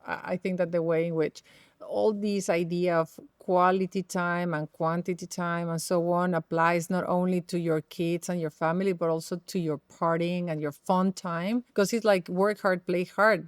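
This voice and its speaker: A woman co-host shares reflective thoughts in a loose, chatty way, full of fillers. Her voice sits a little low. The recording is dry and close. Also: steady tone, normal speed, dry recording. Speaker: podcast co-host. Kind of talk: casual interview conversation.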